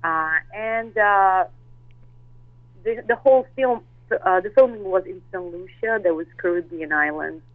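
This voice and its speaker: A woman chats as an interview guest, pausing often and restarting her sentences. Her voice comes through a dull, lo-fi line, and the sound is dry with no room around it. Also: medium pitch, dry recording. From Russia. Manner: hesitant, many fillers and restarts, laughs easily. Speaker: actress and model guest. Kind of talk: interview chat.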